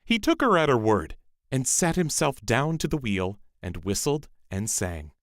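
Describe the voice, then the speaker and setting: A man narrating a scripted fairy tale as a storyteller, in an expressive, measured manner. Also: medium pitch, dry recording, clean studio sound.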